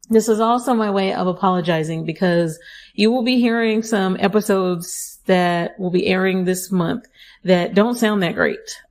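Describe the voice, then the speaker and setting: A young adult woman hosts her own podcast, talking to listeners in a chatty, upbeat way. Her voice has a slight scratchiness from allergies. She often breaks off to correct herself in quick asides. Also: fairly low pitch. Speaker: podcast host. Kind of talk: affirmation podcast monologue.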